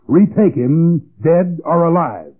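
A man acting a brisk hard-boiled private detective who tells his story with clipped drama in 1940s radio noir. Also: on the high side, lively intonation. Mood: urgent narration. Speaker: narrator and lead. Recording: tinny old radio recording with music.

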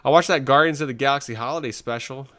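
A man's voice: mid-range voice, quick pace, fairly bright tone. A man co-hosting a podcast, chatting casually and bluntly in slangy, laid-back talk about what he has been watching. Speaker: podcast co-host. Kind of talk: casual chat reviewing shows and films.

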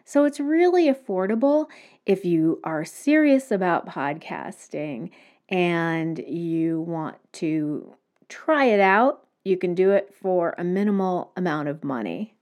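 Slow, lively-voiced woman podcaster. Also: on the low side. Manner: explanatory, step-by-step advice. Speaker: podcast host. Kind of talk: solo podcast talk. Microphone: home microphone.

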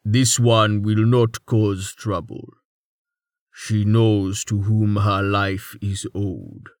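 A man voicing a stern, grave antlered male creature in a fantasy audiobook, commanding and deliberate. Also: fairly low pitch, level tone, no echo, clean studio sound. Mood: calm, stern. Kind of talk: audiobook character acting.

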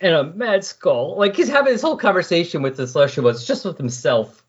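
A man talking casually and loosely on a comics podcast, thinking aloud as he explains, with a slightly husky voice. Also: on the high side, sing-song, quick pace. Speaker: podcast co-host discussing comics. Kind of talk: casual, rambling comics discussion. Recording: dull lo-fi voice recording.

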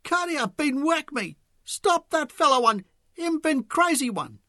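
A man's voice: extremely high pitch, sing-song, measured pace, bright, forceful tone, no echo, clean studio sound, voice pushed up, full character voice. A man voicing a big brawler who squeals dramatically in broken English, in a storybook reading. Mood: squealing.

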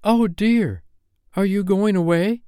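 A man's voice: sits high, slow pace, clear, resonant tone, no echo, clean studio sound, pitched above the performer's own voice. A man voicing an earnest, tender young girl with a pleading, comforting manner, in a storybook reading. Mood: hesitant, pleading. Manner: soft-hearted, pleading, faltering.

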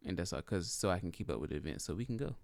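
Male podcast co-host, very low, smooth, easygoing, dry room. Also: expressive, rapid-fire, darkish tone, no room sound. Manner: easygoing, agreeable, lots of fillers. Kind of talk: casual podcast chat.

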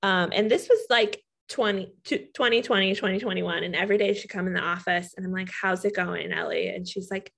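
A woman's voice: mid-range voice, brisk, gravelly, few pauses. A woman in her thirties co-hosting a casual talk show, telling stories and acting out quotes with animation. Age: 30s. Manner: animated storyteller, acts out quotes. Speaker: podcast co-host. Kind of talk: casual chat.

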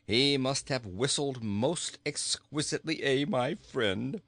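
A man's voice: mid-range voice, slow, fairly bright tone, no room sound, voice pushed up. A man voicing a jolly, teasing king with a cheery, chuckling, singsong manner in a storybook reading. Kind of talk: storybook character voice.

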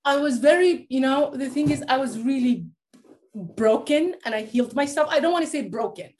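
A woman's voice: high voice, expressive, normal speed, darkish tone, slight background noise. A woman in her late thirties, originally from Iran, telling her personal story as a guest with many restarts and fillers. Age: late 30s. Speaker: guest, life coach.

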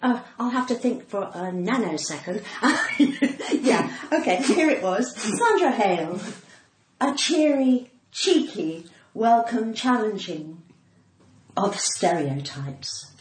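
A woman's voice: fairly high pitch, sing-song, slow. Cut-glass English woman in an echoey room. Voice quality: crystal, cut-glass diction. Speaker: comedian and actor guest. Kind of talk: casual bantering interview chat. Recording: echoey room with background noise.

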